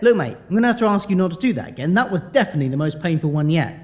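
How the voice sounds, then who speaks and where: A man's voice: sits high, animated, very fast, a little mellow. A man playing a blithe, middle-aged ghost dad in an audio drama, with a matey, wry, indignant way of talking. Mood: exasperated. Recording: reverberant, music behind.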